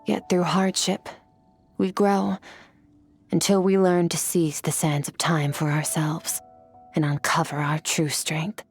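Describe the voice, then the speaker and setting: An actress playing a cool, ageless woman warrior, calm, detached and philosophical. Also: takes it slowly, soft background music, heavily acted. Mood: contemplative. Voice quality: husky, low and composed. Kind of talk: video game voice acting.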